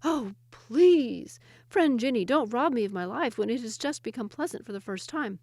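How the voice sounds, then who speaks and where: A woman's voice: fairly high pitch, expressive, normal speed, darkish tone, no echo, voice pushed up. Lady doing a crafty young woodcutter guy, sly and earnest, dry room. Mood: pleading. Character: clever young male woodcutter posing as physician.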